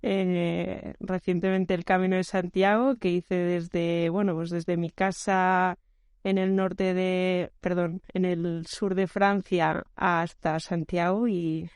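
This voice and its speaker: A woman's voice: on the low side, flat delivery, slow, a little mellow, smooth, no echo. A woman talking as a guest on a casual podcast, easygoing and searching for her words as she goes. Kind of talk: casual conversational interview.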